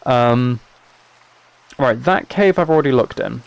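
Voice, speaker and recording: A man's voice: mid-range voice, expressive, a little slow, creaky, no echo, rough recording. A man live streaming a video game, chatting casually and thinking aloud in an understated, rambling way. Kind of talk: casual gameplay livestream chat. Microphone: microphone set up in front of him.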